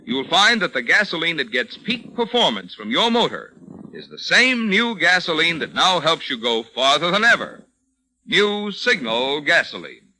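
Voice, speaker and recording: Bright, punchy male radio announcer. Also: fairly high pitch, big pitch swings, moderate pace, low-quality recording. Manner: emphatic salesman delivery with rhetorical questions. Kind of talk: scripted 1940s radio advertisement. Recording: old radio recording, faint noise.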